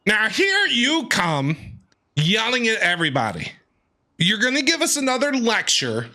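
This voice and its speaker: A man streaming a game, reacting with quick jokes and animated quips. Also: sits high. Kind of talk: reaction commentary over a game.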